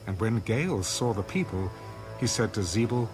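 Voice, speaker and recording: A man narrating an audio Bible in a measured, formal scripted style. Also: on the low side, animated, a little mellow, soft background music, close to an everyday voice, rough recording.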